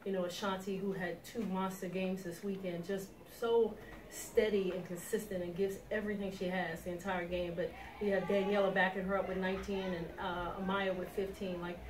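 A woman's voice: mid-range voice, fairly even intonation, normal speed. A middle-aged woman head coach talking directly and confidently in a post-game interview, recorded in a small office. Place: small indoor office room with sofa. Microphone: far camera. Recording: roomy echo from a distant mic with crowd noise.

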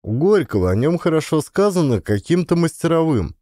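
A man's voice: fairly high pitch, sing-song, a little fast, mellow, no echo, clean studio sound, pitched above the performer's own voice. A man voicing a blunt, worldly prison-camp inmate in an audiobook, with colloquial speech. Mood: reflective.

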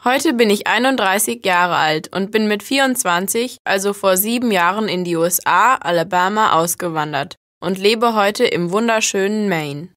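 A woman reading a scripted first-person account for language learners in a plain, even way. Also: mid-range voice, moderate pace, hardly any pauses, no room sound, clean studio sound. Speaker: reader of listening texts.

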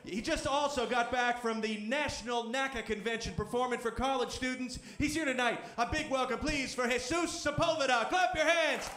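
Very high-pitched, hyped male stage announcer. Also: normal speed, few pauses, bright, forceful tone. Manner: hyped, projecting. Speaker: comedy show announcer. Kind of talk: loud stage introduction. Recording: echoey noisy club with crowd. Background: audience noise and ambience.

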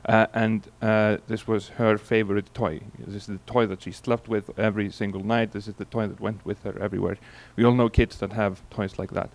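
A man in his forties from Iceland with an Icelandic accent, giving a relaxed conference keynote into a handheld mic, telling stories with many fillers and restarts. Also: lowish voice, fairly quick, gravelly, few pauses. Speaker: keynote speaker. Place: large conference hall on stage. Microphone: handheld microphone.

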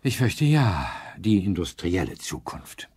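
An actor playing a weary, doubtful man in a radio play, muttering sceptically in a gravelly, very husky voice. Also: low voice, big pitch swings, measured pace, a little mellow, exaggerated voice. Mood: resigned, doubtful. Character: weary, doubtful man resigned to a bleak future. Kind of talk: German radio play acting.

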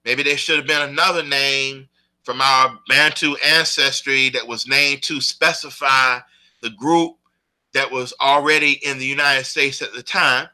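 Guy talking it through, real sharp forceful tone, mid pitch, long explaining bits. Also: steady tone, poor audio. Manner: explanatory, pauses to build long points. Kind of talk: spoken commentary on identity.

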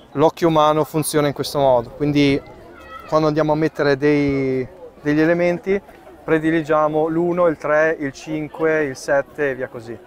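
Chef explaining a dish outdoors. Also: male voice, on the high side. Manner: explanatory, thinking aloud with fillers while working. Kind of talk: live cooking demonstration. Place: outdoor tent in a park. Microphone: far camera.

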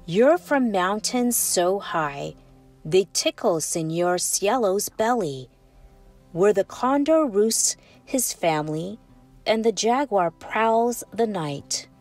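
A woman narrating a children's picture book as a storytime host, with expressive, deliberate phrasing. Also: medium pitch, far from the mic. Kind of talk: scripted picture-book read-aloud. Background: music.

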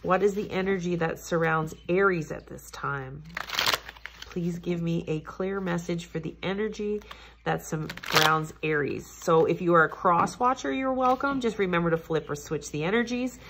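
A woman with a low voice gives a tarot reading at her table at home. She sounds congested and a little nasal, and she speaks in a gentle, reassuring way that circles back over the same points. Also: normal speed, very noisy. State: congested, stuffed-up nose. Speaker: tarot reader. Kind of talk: casual tarot reading monologue.

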